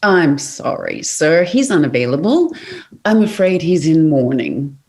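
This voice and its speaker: A polite male English butler played by a woman in a TV table read. The manner is deferential and formal, with a pitch that moves up and down in a lively way. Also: a little slow, exaggerated voice.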